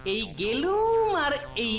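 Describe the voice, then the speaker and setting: A clever cartoon court jester, a calm and confident man, speaks slowly in a lilting, animated voice. The sound is roomy, with music behind. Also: sits high, full character voice. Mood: assured. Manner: unhurried, respectful, self-assured. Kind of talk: Bengali cartoon voice acting.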